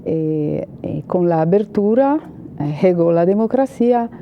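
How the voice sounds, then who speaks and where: A woman's voice: lowish voice, expressive, a little slow, muffled recording, a little hiss or hum. A Brazilian woman with a Brazilian Portuguese accent, a journalist and political analyst, answering interview questions in hesitant Spanish full of "eh" fillers.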